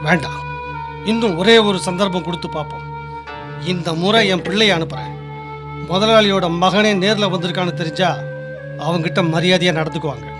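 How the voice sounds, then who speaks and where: A man playing a trusting landowner and father in a Tamil radio drama, with a commanding, expressive delivery. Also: sits high, fairly quick, long pauses, over music, natural voice. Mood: troubled, hopeful.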